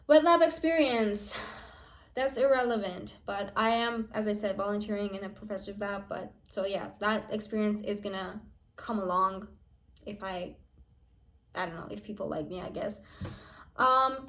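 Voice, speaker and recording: A nineteen-year-old woman vlogging in a casual, chatty way, full of fillers and restarts. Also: medium pitch. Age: young adult. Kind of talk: casual planning vlog.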